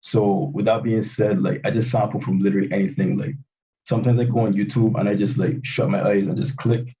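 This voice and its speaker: A man in his twenties, a guest producer answering interview questions in a relaxed, hesitant way with many pauses. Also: fairly low pitch. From Barbados. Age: 20s. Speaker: guest hip hop producer. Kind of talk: casual podcast interview. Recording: muffled, distant remote call audio.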